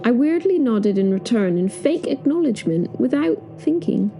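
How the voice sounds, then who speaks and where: A woman narrates a first-person audio drama in a fairly high, mellow voice. Her delivery is slow and very varied in pitch, with faint music behind it. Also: very animated.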